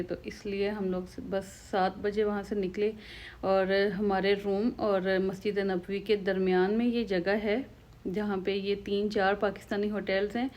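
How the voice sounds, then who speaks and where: A woman's voice: mid-range voice, a little mellow. A young Pakistani woman with a Pakistani Urdu accent, chatting casually to viewers in a travel vlog. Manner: chatty, conversational, speaking straight to viewers. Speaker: vlogger.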